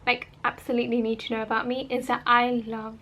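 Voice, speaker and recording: A woman's voice: on the high side, flat delivery, brisk, sharp tone. A woman, chatting in an informal, rambling way as a vlogger talking about herself. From born in Holland, of Bangladeshi family. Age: 20s. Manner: informal, rambling, self-interrupting. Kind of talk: casual chatty personal vlog.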